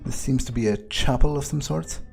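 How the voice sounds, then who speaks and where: A man's voice: mid-range voice, fairly quick, creaky, darkish tone, faint music behind. A man playing a cynical, foul-mouthed vampire in a video game, muttering impatiently as he thinks aloud. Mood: irritated, cynical. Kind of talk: in-character video game playthrough monologue.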